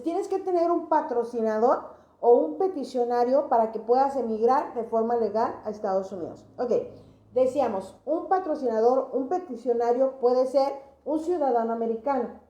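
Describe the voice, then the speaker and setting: A woman's voice: fairly high pitch, warm tone. A woman hosting an informal explainer for viewers, teaching in a didactic way and repeating phrases for emphasis. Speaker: host of an immigration advice channel. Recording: echoey room, dull lo-fi sound.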